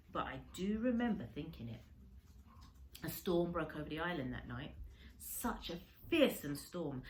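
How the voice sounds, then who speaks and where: A woman's voice: mid-range voice, a little hiss or hum, poor audio. A woman reading a children's story aloud like a teacher, expressively, with dramatic emphasis on descriptive words.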